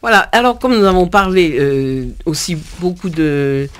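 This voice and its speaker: High-voiced male radio host. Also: lively intonation, unhurried, fairly bright tone, a little hiss or hum. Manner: frequent "voilà", affirming interjections. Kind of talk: radio interview hosting.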